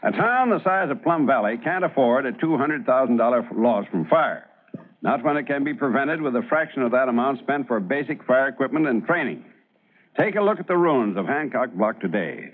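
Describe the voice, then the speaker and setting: An American man playing a determined, crusading small-town newspaper editor in an old-time radio drama, earnest and forceful, given to speeches. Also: medium pitch, sing-song, a little fast. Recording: muffled vintage radio recording.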